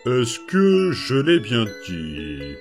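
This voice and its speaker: A man voicing a gentle, hesitant skeleton father. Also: mid-range voice, huge pitch swings, soft background music, exaggerated voice. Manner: hesitant, soft-spoken, full of small pauses and fillers. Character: gentle patient adult male skeleton caring for strange children. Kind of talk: fan comic dub voice acting.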